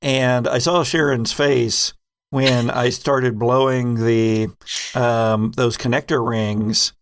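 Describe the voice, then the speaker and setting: A man's voice: mid-range voice, steady tone, slow pace, slight background noise. A man who runs a game, chatting casually and reflectively with his group. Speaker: podcast game master.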